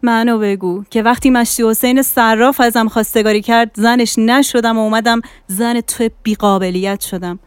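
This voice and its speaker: A woman voicing a quarrelsome, sharp-tongued married woman who scolds and shouts insults. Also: fairly high pitch, steady tone, hardly any pauses, clear, resonant tone, no room sound, studio quality, natural voice. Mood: scornful. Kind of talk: audiobook character acting.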